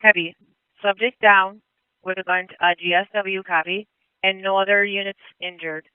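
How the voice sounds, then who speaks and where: A male police dispatcher speaking over radio traffic in a calm, clipped way, repeating back information. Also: high-pitched, moderate pace, smooth, no echo, narrow, tinny audio. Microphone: dispatch console radio.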